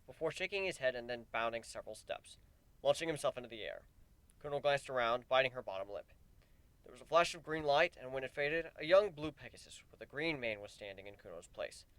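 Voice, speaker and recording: A man's voice: medium pitch, very animated, fairly quick, fairly bright tone, dry recording. A man reading a piece of fan fiction as a dramatic, scripted story narration.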